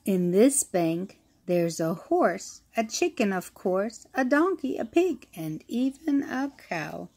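A woman reading a children's picture book aloud, with an expressive storytelling delivery. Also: medium pitch. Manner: slow, expressive reading with acted-out sound effects.

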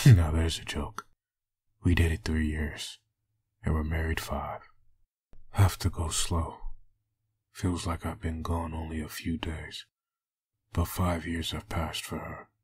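Brooding undead antihero: extremely low, gravel-rough, menacing, haunted comic-book grit. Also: male voice, flat delivery, a little mellow, no room sound, exaggerated voice. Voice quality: deep, raspy. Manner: slow, grim delivery. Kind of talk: comic dub voice acting.

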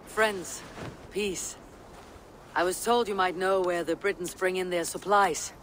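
An actress playing a calm, resolute Viking warrior woman in a video game, speaking low and husky in a measured, solemn way. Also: a little hiss or hum. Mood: calm, earnest. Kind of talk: video game character acting.

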